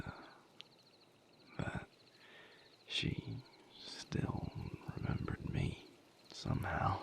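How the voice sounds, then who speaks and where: A weary, tender vampire voiced by a man murmurs to his mate in an intimate audio roleplay. His voice is low and half-whispered, crackling with fry. He takes his time, leaving long, thoughtful pauses between soft phrases. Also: heavily acted. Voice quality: breathy, gravelly near-whisper. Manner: unhurried, halting, soft-spoken with long pauses.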